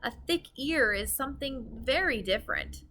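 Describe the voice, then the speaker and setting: A woman's voice: sits high, expressive, normal speed, bright, forceful tone, a little hiss or hum, pitched above the performer's own voice, exaggerated voice. A woman voicing a small, polite young girl from a storybook, earnest and plain-spoken. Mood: questioning.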